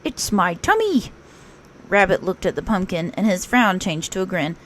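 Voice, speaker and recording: A woman narrating a children's story aloud in an expressive read-aloud style, like a teacher. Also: fairly low pitch, fairly quick.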